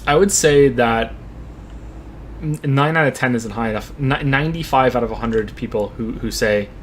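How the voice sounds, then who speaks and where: Male streamer, mid-pitched, stop-start casual chat with frequent restarts. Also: a little hiss or hum. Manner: halting, repeats words while thinking; plays a driving game while talking. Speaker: streamer answering viewer questions.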